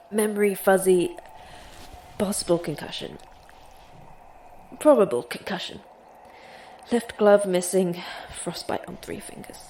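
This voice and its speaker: An actress playing an injured, terse manager, deadpan and clipped through labored breathing, talking to herself in a horror audio drama. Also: female voice, medium pitch, slight background noise, close to an everyday voice, poor audio. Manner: clipped, deadpan, listing to self. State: hurt, labored breathing, sighing. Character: injured, terse, darkly sardonic manager fighting to stay focused.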